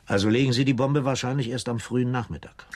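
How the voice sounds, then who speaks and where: An actor playing a methodical police investigator with a halting, probing way of talking in a German radio play. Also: male voice, medium pitch, steady tone, darkish tone, natural voice. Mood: businesslike. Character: methodical, hesitant-spoken male police investigator.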